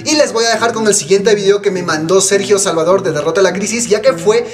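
A young man giving a promotional pitch straight to camera as a channel host. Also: fast talker, recorded from a distance. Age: young adult. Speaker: YouTube channel host and recruiter. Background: hip hop music.

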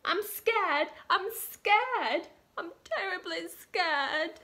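A woman's voice: animated, drawn-out words, pushed and bright, exaggerated voice. A woman voicing a timid, easily frightened male cook in a children's storybook, with a shaky, quavering voice. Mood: fearful. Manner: shaky, high and quavering with fear.

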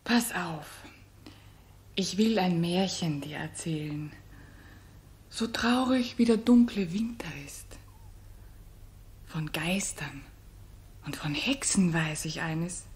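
Hushed, low-voiced gentle queen. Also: expressive, takes it slowly, pauses often, a little hiss or hum. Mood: hushed, mysterious. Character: gentle queen and mother telling a tale. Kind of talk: 1960s German radio drama acting.

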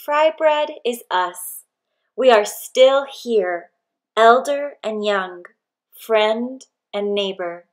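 A woman narrating a picture book aloud expressively, with deliberate phrasing and pauses between short phrases. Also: a little high, lively intonation.

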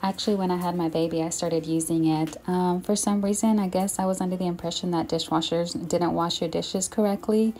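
A woman's voice: lowish voice, steady tone, brisk, hardly any pauses, warm tone, a little hiss or hum. A young woman talking casually to her viewers while cleaning her home kitchen, reassuring and a little apologetic about the mess. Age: young adult. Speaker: home vlogger. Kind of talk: casual cleaning vlog narration.

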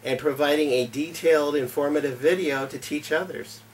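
A man's voice: fairly high pitch, fairly even intonation, moderate pace, a little hiss or hum. Middle-aged man talking from a distance. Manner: plain, earnest, step-by-step explainer with "uh" fillers. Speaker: homesteading YouTube channel host. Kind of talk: casual interview answers. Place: home library with bookshelves and fireplace.

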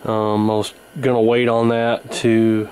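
Guy casually explaining repair work at his bench, pretty monotone, bit of noise behind him. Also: on the low side, a little slow. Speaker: host, radio repairer. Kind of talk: casual hands-on repair narration. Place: indoor repair workbench. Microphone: handheld camera near the bench. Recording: noticeable background noise from camera mic.

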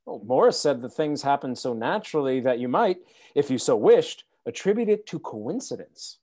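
Radio play casting: stubborn old soldier dad, mellow tone, animated delivery, gently wry. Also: male voice, mid-range voice, normal speed.

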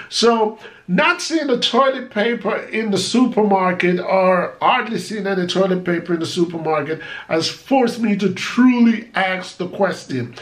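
A Jamaican man in his forties, a vlogger chatting casually to camera from a small home office, animated and gesturing as he talks. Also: high voice. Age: 40s.

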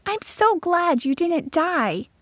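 A woman voicing a gentle, affectionate young girl in a storybook, speaking softly and wistfully to a friend. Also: very high voice, big pitch swings, slow pace, dry recording, voice pushed up, exaggerated voice. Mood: tender, wistful.

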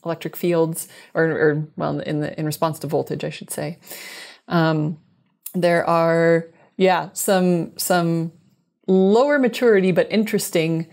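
Woman scientist, thirties, low voice, careful technical explaining over a video call, corrects herself. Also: darkish tone. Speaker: guest scientist, exoplanet researcher. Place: small indoor room, video call. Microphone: wired earbuds headset on a video call.

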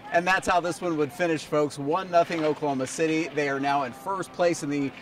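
A man's voice: on the high side, steady tone, a little fast. A man in his thirties presenting a sports recap to camera on a handheld microphone outdoors. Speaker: team reporter and presenter. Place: outdoors in an empty stadium at night. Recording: distant-sounding mic, faint outdoor noise.

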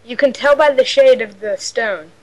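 A woman's voice: high voice, moderate pace, poor audio. A young woman playing a game with friends, goofy and given to silly outbursts. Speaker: gaming friend. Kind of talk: casual multiplayer gaming chat.

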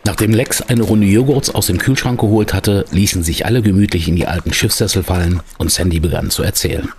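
A man's voice: low voice, a little fast, a little mellow, a little hiss or hum. A man reading scripted narration as the narrator of an audio drama.

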